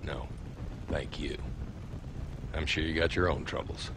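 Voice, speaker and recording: An actor playing a tired frontier town marshal in a western game, speaking dryly with wry humor. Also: male voice, extremely low pitch, steady tone, unhurried, background noise.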